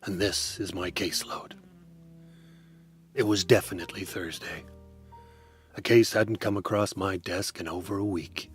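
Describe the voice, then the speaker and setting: Low-voiced man, dry deadpan noir narration, soft music behind. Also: unhurried, pauses often. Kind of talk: hardboiled detective noir narration.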